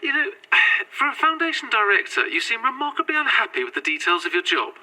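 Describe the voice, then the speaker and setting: A man's voice: very high voice, very animated, brisk, bright, forceful tone, full character voice, poor audio. A man acting an anxious, polite young employee on the run in a found-footage audio drama, hesitant, stammering and apologetic. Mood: questioning. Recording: faint noise, found-footage recorder.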